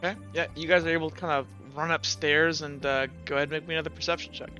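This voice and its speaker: Bright-voiced man running a tabletop game. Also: a little high, little pitch movement, very fast, lo-fi sound. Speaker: game master. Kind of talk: improvised tabletop game narration. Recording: music behind the voice.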